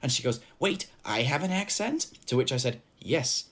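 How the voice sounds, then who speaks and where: A woman from the UK with a British accent telling a story casually, in an animated, incredulous way. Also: very deep voice, normal speed. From United Kingdom.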